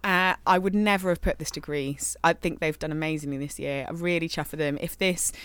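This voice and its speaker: A woman's voice: low voice, steady tone, brisk, fairly bright tone, clear, resonant tone, dry recording. A woman co-hosting a casual podcast, gushing enthusiastically as she reviews song contest entries. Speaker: podcast co-host.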